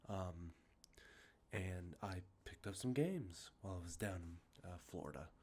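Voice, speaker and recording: A man with a congested, sniffly nose, talking softly and rambling, with many fillers, as an ASMR host showing off games he collected. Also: low voice, expressive, unhurried, breathy edge. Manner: rambling, many ums and uhs, self-correcting. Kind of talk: soft-spoken show-and-tell about collected video games. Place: outdoors with traffic nearby.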